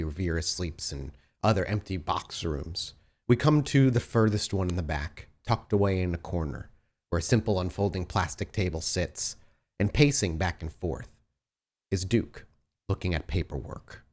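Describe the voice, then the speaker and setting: A man working as game master, narrating a tabletop game in a cinematic, deliberate and dramatic way. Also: sits low.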